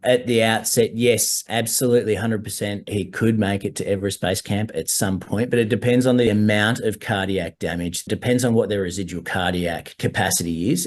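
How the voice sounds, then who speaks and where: Man, hoarse, lower pitch, measured explaining, webinar doctor, video call. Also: darkish tone. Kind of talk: webinar presentation.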